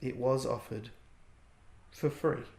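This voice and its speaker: A man reading aloud his own rhyming picture book in a gentle, expressive way. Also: mid-range voice, takes it slowly, gravelly. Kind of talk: rhyming storybook narration. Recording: echoey room.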